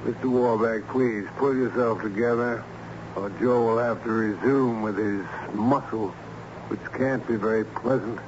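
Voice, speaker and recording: A man playing a hearty, menacingly polite crooked lawyer, questioning formally and briskly in an old-time radio drama. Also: mid-range voice, fairly even intonation, lightly acted. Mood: smoothly threatening. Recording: muffled, noisy old radio recording.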